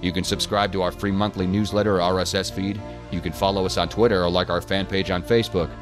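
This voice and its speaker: A man's voice: deep voice, little pitch movement, fast talker. A man hosts a podcast, reading a scripted outro announcement to listeners. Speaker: podcast host. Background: music bed.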